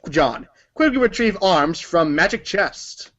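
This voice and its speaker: A man's voice: sits high, animated, slow pace, a bit bright. A man reading scripted narration for a read-along story performance, in a dull recording.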